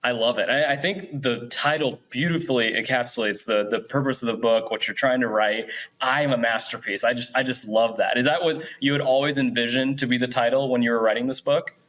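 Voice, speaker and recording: A man's voice: mid-range voice, fairly even intonation, brisk, fairly bright tone. Effusive male interviewer, casual and affirming. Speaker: interviewer, host.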